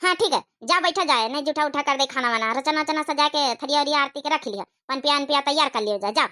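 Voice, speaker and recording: A woman's voice: sits high, fast talker, bright, forceful tone. A woman voicing a bossy, fussing older village mother-in-law in a comic cartoon, with an Awadhi accent. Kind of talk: exaggerated cartoon comedy dialogue.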